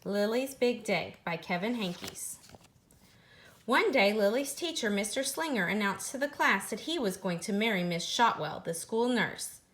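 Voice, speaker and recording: A woman narrating a children's picture book aloud in a deliberate, expressive, teacher-like way. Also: mid-range voice, slight background noise.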